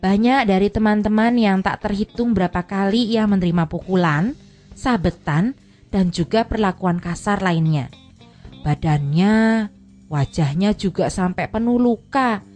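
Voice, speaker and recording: An actress playing an earnest, chatty former migrant worker in a radio drama, with an expressive, explanatory way of talking. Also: female voice, mid-range voice, measured pace, soft background music, lightly acted. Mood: earnest, sorrowful.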